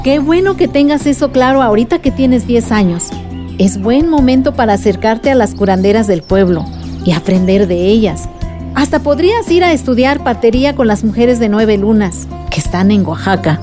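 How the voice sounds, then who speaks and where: An affectionate Mexican aunt, played by a woman, with a slightly high voice. She talks in an encouraging way in a radio drama, with music clearly heard behind her. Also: animated, normal speed, natural voice. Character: warm, encouraging aunt, easily winded.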